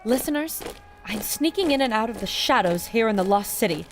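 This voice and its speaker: An actress playing a plucky, determined war correspondent, earnest and expressive, narrating her adventure in an audio drama. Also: on the high side, fairly quick, slight background noise, lightly acted. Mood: tense, excited.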